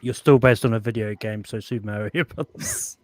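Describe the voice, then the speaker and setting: A man's voice: on the low side, fairly even intonation, brisk, no echo. A man speaking casually as a panelist in an informal group discussion. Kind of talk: casual chat.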